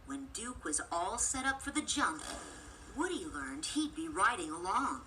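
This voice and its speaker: A woman reading a scripted children's storybook as narrator, expressive and clearly phrased. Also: mid-range voice, measured pace, a little hiss or hum.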